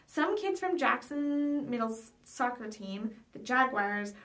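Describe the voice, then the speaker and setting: High-voiced young woman reading a children's story. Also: vocal fry, a bit bright. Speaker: librarian reading aloud. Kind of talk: read-aloud storytelling of a children's chapter book. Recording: voice picked up from some distance.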